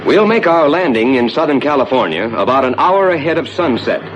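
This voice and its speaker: An American man playing a rocket ship captain, narrating a scripted 1950s ride to his passengers in a measured, reassuring, explanatory way. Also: very animated, lightly acted. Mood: calm, reassuring. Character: assured male rocket ship captain guiding passengers through space. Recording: dull 1950s soundtrack with loud rumbling noise.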